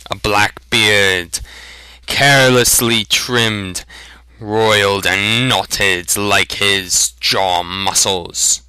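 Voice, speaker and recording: A man reads a storybook aloud in a dramatic amateur style. His voice is very bright and forceful, and he goes slowly and deliberately. The sound is dry and close. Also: lowish voice, fairly even intonation, smooth, dry recording. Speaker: storybook narrator. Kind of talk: dramatic amateur audiobook reading.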